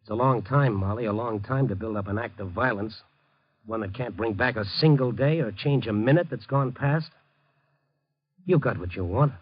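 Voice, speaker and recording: An actor playing an earnest, hard-boiled newspaper reporter, urgent and moralizing, in a 1950s radio drama. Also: male voice, on the low side, moderate pace, breathy edge. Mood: firm persuasion. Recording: dull vintage radio broadcast recording.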